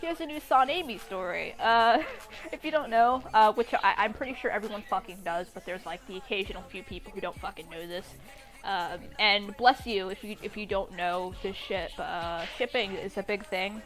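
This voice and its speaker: A woman's voice: mid-range voice, moderate pace, a bit bright. A young woman casually reading and mocking fan fiction, with an irreverent, sweary, giggly manner. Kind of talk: casual commentary on a read-aloud story. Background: background music.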